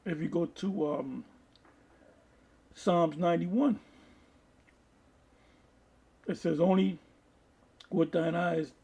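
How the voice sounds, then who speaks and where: Guy doing a homemade sermon, reads slow n halting, kinda gravelly mellow voice. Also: a little high. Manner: halting, repeats and restarts phrases. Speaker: preacher reading articles and scripture to an online audience. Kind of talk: informal video sermon, reading aloud with commentary.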